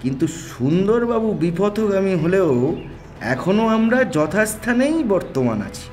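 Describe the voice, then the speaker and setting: A man's voice: sits high, sing-song, unhurried, darkish tone, soft background music. An actor playing a detective's puzzled, sceptical male companion, questioning incredulously in an audio mystery drama.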